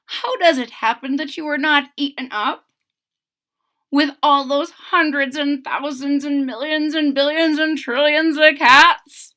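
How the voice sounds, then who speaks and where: A woman's voice: sits high, steady tone, unhurried, pushed and bright, pitched above the performer's own voice. Woman reading as an emphatic, marveling very old man. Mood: fond wonder. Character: very old man, earnest, marveling, fond of cats. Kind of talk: storybook read-aloud character voice.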